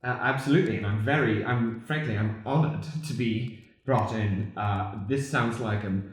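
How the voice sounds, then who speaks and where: A man playing an eager, bumbling handyman in an improvised comic radio play, hesitant, over-polite and excitable. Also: on the low side, moderate pace, close to an everyday voice. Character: eager, bumbling hardware-store handyman joining a criminal gang. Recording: very echoey room.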